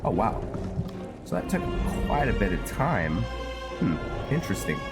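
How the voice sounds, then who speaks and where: Low slow guy doing casual game commentary, kinda halting, thinking out loud, loud music behind him. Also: big pitch swings. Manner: musing aloud, hesitant, halting. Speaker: gamer playing and narrating a fantasy roleplay series. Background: loud game music under the voice.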